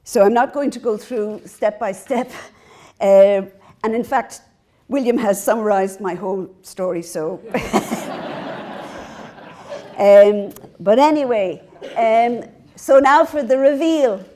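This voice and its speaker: A woman's voice: on the high side, slow pace. A middle-aged Irish woman, a native Irish speaker, giving a public lecture as a professor, earnest and laughing at herself now and then. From Ireland. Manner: warm, earnest, laughs at herself between points. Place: lecture hall.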